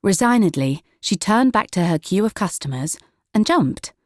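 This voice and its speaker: A woman's voice: mid-range voice, sing-song, moderate pace, dry recording, studio quality. A woman reading a children's story as a scripted audiobook narrator.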